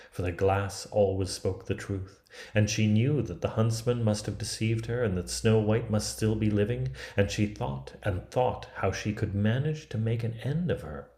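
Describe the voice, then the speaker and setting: A man in his fifties from Canada narrating a fairy tale as a storybook reader, with deliberate, dramatic phrasing. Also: fairly low pitch, dark tone, reverberant. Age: 50s.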